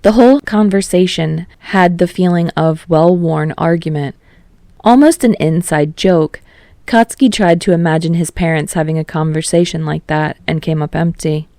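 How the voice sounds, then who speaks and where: A woman narrates fiction in a low-pitched voice with a creaky, gravelly edge. The recording is close and dry, like an audiobook read. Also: moderate pace, warm tone, no echo. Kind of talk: scripted story narration.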